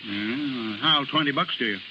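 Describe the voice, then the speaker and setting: A man's voice: mid-range voice, normal speed, close to an everyday voice. An actor playing a nervous, loyal carnival man, hesitant and slangy, in a 1930s radio drama. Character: nervous, loyal, good-hearted carnival hand. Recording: dull, noisy 1930s radio recording.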